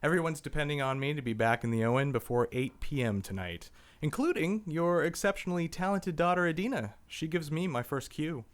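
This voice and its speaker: A man's voice: fairly high pitch, lively intonation, brisk. A man performing scripted comic radio banter in a playful, hammy way. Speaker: actor and producer in comic banter.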